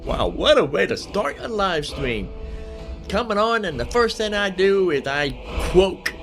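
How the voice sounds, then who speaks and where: A man's voice: sits high, big pitch swings, a little slow, over music. A man hosting a casual game livestream, rambling in a folksy way and repeating phrases for emphasis. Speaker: live streamer, gaming host.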